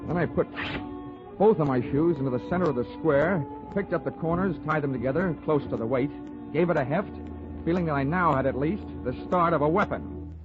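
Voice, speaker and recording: A man's voice: a little high, fairly quick, close to an everyday voice. An American man playing a wisecracking hard-boiled investigator in a 1940s radio drama, sardonic and slangy as he narrates and talks. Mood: wry, dry. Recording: tinny 1949 radio recording, music behind.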